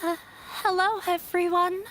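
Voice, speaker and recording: A woman voicing a shy, nervous young dancer in a video game, speaking in a hesitant, halting way. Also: very high voice, little pitch movement, fairly bright tone, slight background noise.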